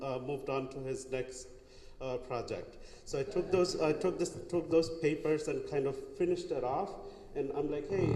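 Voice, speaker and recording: A man's voice: fairly high pitch, dark tone. A young man from Bangladesh giving an artist talk in a gallery, hesitant, with many fillers and restarts. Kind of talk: casual artist talk, answering questions. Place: gallery hall. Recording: echoey hall, noisy room.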